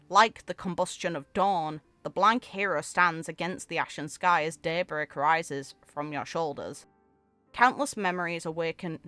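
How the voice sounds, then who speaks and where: A woman's voice: on the low side, a bit bright, dry recording, close to an everyday voice. A woman voicing a sweet, wistful young heroine from a game story, in a tender storybook manner.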